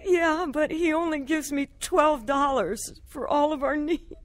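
A woman's voice: high voice, measured pace, no echo. An actress playing a troubled wife and mother who tells her life story in an earnest, confessional radio drama style. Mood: distressed. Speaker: lead actress narrating. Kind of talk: radio drama first-person narration.

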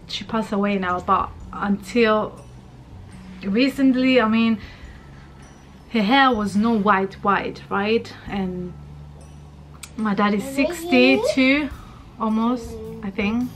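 A woman's voice: a little high, takes it slowly, long pauses, slight background noise. Chatty thirty-year-old woman vlogging from her bedroom. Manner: rambling, chatty, many fillers. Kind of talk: casual chat to camera. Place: bedroom, indoors. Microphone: camera at arm's length.

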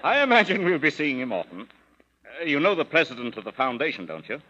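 An actor playing a teasing older father in a 1930s radio drama, dry and gruff. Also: fairly high pitch, big pitch swings, fast talker, bright, forceful tone, slight background noise. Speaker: Mister Lemp. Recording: dull old radio broadcast.

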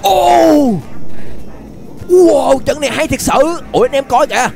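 A young man with a southern Vietnamese accent giving excited, exclamatory gameplay commentary, often repeating phrases as he reacts. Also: very high voice, measured pace, vocal fry, a little hiss or hum. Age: young adult. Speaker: gaming YouTuber, host. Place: indoors at a gaming setup. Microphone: desk microphone close to the face.

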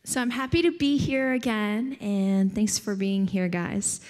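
A young woman from Hawaii speaks to an audience from a theater stage, relaxed and friendly, laughing lightly between thoughts. Her pitch sits in an ordinary middle range for a woman. The handheld mic picks up a long hall reverb around her. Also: measured pace. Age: young adult. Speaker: guest speaker. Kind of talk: casual talk to an audience. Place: large theater stage, seated. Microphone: handheld microphone.

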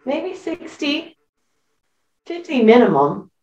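A woman's voice: sits high, big pitch swings, slow, darkish tone, roomy sound, far from the mic, lo-fi sound, faint music behind. A woman in her thirties chatting playfully and insistently on a podcast. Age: 30s. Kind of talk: casual podcast chat.

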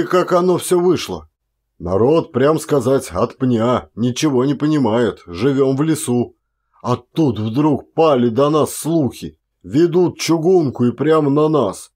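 A man's voice: medium pitch, expressive, measured pace, a little mellow. A man voicing a garrulous, indignant Siberian peasant in an audiobook, with folksy, exclamatory, rambling talk. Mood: agitated, bewildered, then excited gossiping. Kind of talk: one-actor audiobook character acting.

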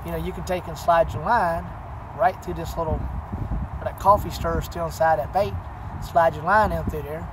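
A man's voice: fairly high pitch, fairly even intonation, moderate pace. A man, casually showing fishing tricks to the camera outdoors, rambling with many fillers. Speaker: fishing channel host. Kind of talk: casual how-to demonstration. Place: outdoors by a pine wood. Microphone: camera close at arm's length. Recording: noisy outdoor camera audio.

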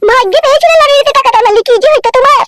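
A woman voicing a sharp-tongued, sassy, nagging village wife in a comic cartoon. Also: extremely high pitch, very fast, background noise. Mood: nagging, mocking. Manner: bickering, taunting, sassy.

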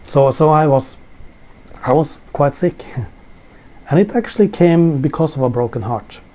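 A man's voice: on the high side, animated, unhurried, warm tone. A middle-aged Norwegian man with a Norwegian accent, teaching the Bible in English from his living room sofa, often repeating words as he searches for them. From Norway. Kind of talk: informal Bible teaching to camera. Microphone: camera in front, seated framing.

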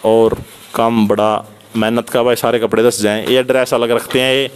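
A man's voice: mid-range voice, level tone, normal speed, clear, resonant tone. A young man with a Haryanvi accent talks casually and chattily to viewers as a vlogger, filming on a handheld phone. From Haryana, India. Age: young adult. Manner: chatty, repeatedly addresses viewers as brother. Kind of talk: casual vlog commentary. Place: unfinished concrete house, indoors and at doorway.

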